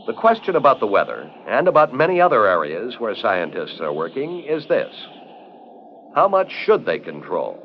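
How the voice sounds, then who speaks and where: Animated American man narrating, vintage film sound. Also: medium pitch, moderate pace, noisy background. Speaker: documentary narrator. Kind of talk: scripted educational film narration. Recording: dull 1970s 16mm film soundtrack with music. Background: background music.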